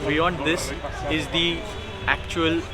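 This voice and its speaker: Male travel vlogger over loud music. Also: a little high, fairly even intonation, slow. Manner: explanatory, guide-like delivery. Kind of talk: travel vlog narration to camera. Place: outdoors at tourist sites.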